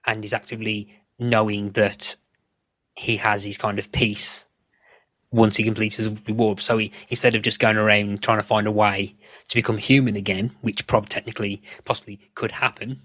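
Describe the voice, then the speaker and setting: Lowish-voiced man rambling through podcast chat. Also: level tone, normal speed, a bit bright, no room sound. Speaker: podcast host. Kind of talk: casual episode discussion.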